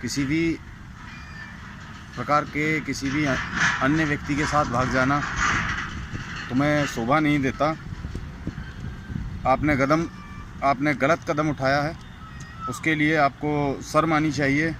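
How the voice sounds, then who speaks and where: A man's voice: on the high side, steady tone, slow pace, long pauses. An indignant man giving an informal, scolding opinion rant as a commentator on a recorded call. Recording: very noisy phone call recording outdoors, vehicles.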